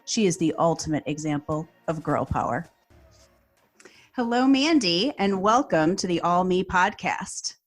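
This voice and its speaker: A woman hosting a podcast, reading an enthusiastic introduction and asking interview questions. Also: lowish voice. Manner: warm, enthusiastic, read-aloud delivery. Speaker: podcast host.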